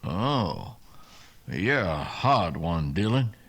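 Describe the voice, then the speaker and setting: A man's voice: low-pitched, very animated, very slow, a bit bright, husky, heavily acted. A man playing a plain-spoken, wry Texas lawman sergeant in a western radio drama, with a folksy drawl. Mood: probing.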